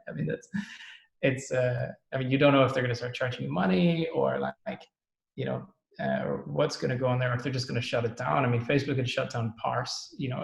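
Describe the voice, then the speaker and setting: A man's voice: medium pitch, vocal fry. A man from the Netherlands, a guest on a podcast, chatting casually and thinking aloud with frequent ums. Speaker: podcast guest, startup co-founder. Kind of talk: casual interview chat. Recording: roomy echoey sound.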